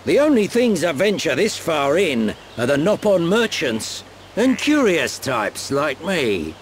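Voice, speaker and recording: An actor playing a gruff, worldly older adventurer and guide in a video game cutscene, with a knowing, grumbling manner. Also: male voice, fairly high pitch, very animated, measured pace, a little hiss or hum. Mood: knowing, instructive.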